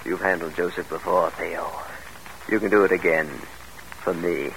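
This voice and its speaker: A man's voice: sits low, fairly even intonation, moderate pace, creaky, fairly bright tone. An actor in a classic radio drama playing a scheming, grandiose exiled father with a commanding, theatrical manner. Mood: coaxing. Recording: noisy old radio drama recording.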